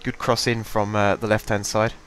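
A man's voice: fairly low pitch, flat delivery, moderate pace, a bit bright, clean tone. Chatty man with a cold. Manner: chatty, rambling, thinks aloud with fillers. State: has a cold, congested. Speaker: gaming channel host. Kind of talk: casual game commentary. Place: home desk.

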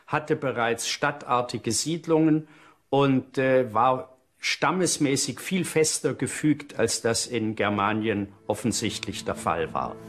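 A man's voice: medium pitch, lively intonation, slow. Male expert explaining in an interview.

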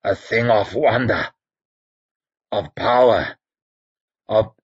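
A man voicing a wounded male zealot with a croaking voice, fervent and pleading. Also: fairly low pitch, slow pace, dull audio. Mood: reverent. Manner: urgent, pleading.

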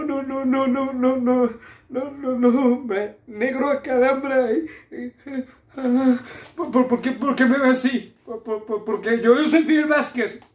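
A man playing a confused, pleading drug addict who stammers and repeats himself in a theatrical monologue. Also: a little high. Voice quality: stammering, halting speech. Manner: fragmented, obsessively repeating himself. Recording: echoey room.

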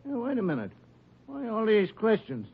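Glib, evasive union steward. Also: male voice, high-pitched, huge pitch swings, moderate pace, slightly rough, exaggerated voice. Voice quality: breezy, glib. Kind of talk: 1940s radio acting. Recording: dull 1940s radio recording.

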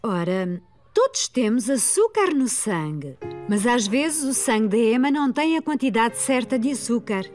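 A woman's voice: on the high side, sing-song, moderate pace, a little mellow, soft background music. A woman voicing a kind, patient preschool teacher in a children's cartoon dub, with a European Portuguese accent. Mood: explaining.

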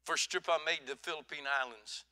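An older man preaching a folksy sermon on a church stage, swinging from quiet asides to loud emphasis. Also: on the high side, a little fast. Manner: folksy, swings from soft to loud, asks "huh?".

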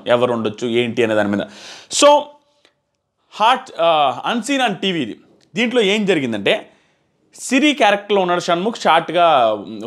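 A man in his thirties giving a casual, emphatic spoken review to camera, repeating phrases for stress, on a lapel mic indoors. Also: a little high. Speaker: reality show reviewer. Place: small plain room indoors. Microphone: clip-on lapel mic.

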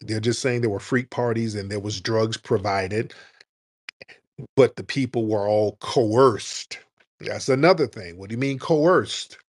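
A man's voice: lowish voice, measured pace. Combative man hosting a call-in show. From United States. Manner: combative, rhetorical questions, acts out quoted voices. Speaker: livestream show host. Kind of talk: opinionated commentary with callers.

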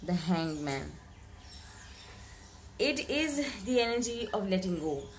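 A young woman, slightly hoarse from a cold, giving a reflective weekly tarot reading to camera in a small room, often repeating words for emphasis. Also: mid-range voice, sing-song, takes it slowly, slight background noise. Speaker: tarot reader. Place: bedroom with curtained window, indoors.

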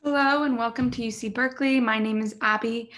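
A woman's voice: fairly high pitch, fairly even intonation, a little fast, fairly bright tone. A young woman from Southern California, a student tour guide talking casually with many fillers over a video call. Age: young adult. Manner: friendly, filler-heavy. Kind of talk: casual presentation.

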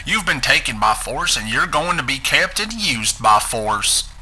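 A man's voice: medium pitch, big pitch swings, measured pace, sharp tone, breathy edge, poor audio. A man giving a dramatic reading of a script as its narrator. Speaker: narrator reading a transcript aloud.

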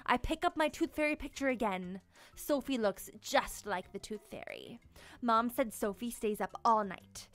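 Young female children's storyteller, high voice, expressive and playful delivery, light music underneath. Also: moderate pace. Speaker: storyteller and children's channel host. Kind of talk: animated children's book read-aloud. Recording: music behind the voice.

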